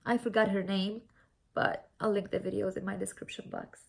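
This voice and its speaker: A woman's voice: medium pitch, little pitch movement, moderate pace, creaky, breathy edge. A young woman from Syria with a Syrian Arabic accent, chatting casually and personally to viewers as she gives a makeup tutorial. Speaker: beauty vlogger.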